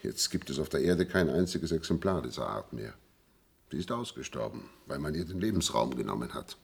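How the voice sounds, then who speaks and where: A weary old man living in exile, with an extremely low, husky voice full of gravel. He explains things solemnly and with regret, in the manner of a radio drama. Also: very animated, fairly quick, heavily acted. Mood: grave, explaining. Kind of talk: German radio play acting.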